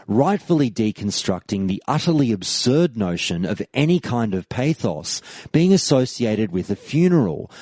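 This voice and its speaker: Lively man hosting a podcast. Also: fairly low pitch, dry recording. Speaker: podcast host. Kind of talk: scripted podcast narration.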